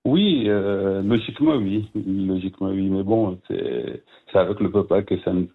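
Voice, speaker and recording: A man in his fifties from Burkina Faso, a guest speaking by phone, hesitant with many fillers and soft laughs. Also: low-pitched, unhurried, slightly rough. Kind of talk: phone interview. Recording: tinny phone line.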